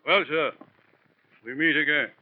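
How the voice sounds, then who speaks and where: A man playing a pompous, smooth-talking middle-aged London investigator in florid, theatrical 1940s radio drama style. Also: a little high, a little slow, soft background music, close to an everyday voice. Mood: smug, courtly. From England. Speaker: actor in a radio detective drama. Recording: noisy, dull vintage radio recording.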